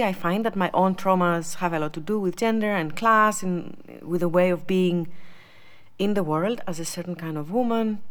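A woman giving a personal spoken reflection in a reflective, measured manner. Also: lowish voice. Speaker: exhibition contributor.

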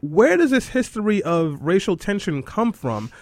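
A man hosting a radio show, asking questions in a halting way with restarts. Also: sits high, big pitch swings, no echo. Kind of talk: interview questions.

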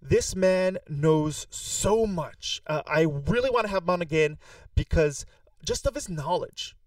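A man opens the episode with an enthusiastic tone, stumbling now and then into restarts. His voice sits a little higher than usual and is heard from a bit of distance off the mic, in a dry space. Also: animated, unhurried, dry recording. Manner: enthusiastic, with small restarts and fillers. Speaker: podcast host. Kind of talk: episode introduction.

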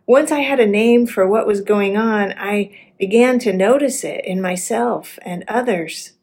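A woman pastor giving a sermon in a reflective, measured public delivery. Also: medium pitch, a little mellow.

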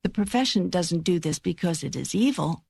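A woman playing God as a wry, wise, reassuring spirit in an audiobook dialogue, with a measured, assured, instructive delivery. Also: on the low side, animated, vocal fry, a little mellow, slightly rough, no echo, full character voice. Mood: firm, insistent.

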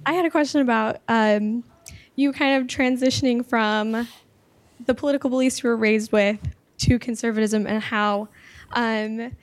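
A woman's voice: a little high, fairly even intonation, normal speed. A young adult woman in the audience, hesitantly asking a question with many fillers. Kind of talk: question from the floor.

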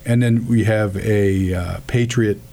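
Man, radio guest, mellow tone, earnest testimony, halting restarts. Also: fairly low pitch, steady tone, slight background noise. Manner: halting, frequent restarts and repeated words. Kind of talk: earnest testimony about prayer.

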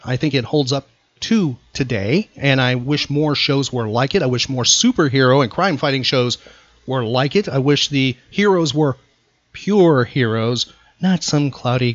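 A man's voice: mid-range voice, dry recording. A man hosting a casual trivia quiz, teasing and emphatic with his contestant. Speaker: podcast host and quizmaster. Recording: close, dry, slightly dull sound.

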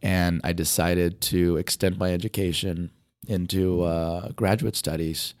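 A man's voice: sits low, steady tone, a little slow, a little mellow, dry recording, clean studio sound. A middle-aged man from Hawaii who teaches motion design, answering interview questions in a rambling way with many fillers while losing his voice.

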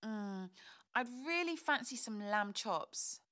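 A woman acting a young, affectionate, devout pregnant student in a modern audio play, expressive and given to gushing. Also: fairly high pitch, slow pace, clear, resonant tone, dry recording, studio quality, faint music behind, full character voice. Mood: contented, tender.

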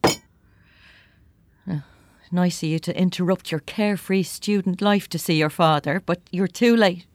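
A woman's voice: lowish voice, steady tone, measured pace, a little hiss or hum, natural voice. An actress playing a bitter, defensive middle-aged widow in an audio drama, curt and sarcastic with her student child. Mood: defensive, startled. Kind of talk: naturalistic audio drama acting.

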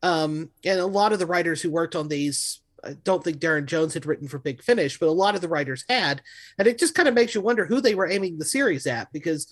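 A man's voice: fairly high pitch, quick pace, a bit bright. A man co-hosting a podcast in a casual, analytical discussion. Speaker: podcast co-host.

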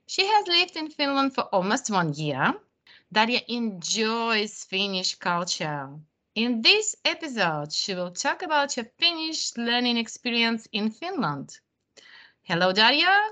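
A woman hosting a podcast, reading a prepared introduction and interview questions in a clear, measured way. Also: a little high, sing-song, sharp tone. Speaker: podcast host.

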